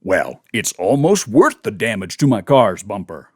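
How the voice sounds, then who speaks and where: Man putting on a folksy simple guy, swooping husky delivery. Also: on the low side, sing-song, measured pace, no room sound, studio quality, full character voice. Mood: earnest, hungry. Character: plain-spoken simple man hungry for barbecue. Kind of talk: commercial voice-over read.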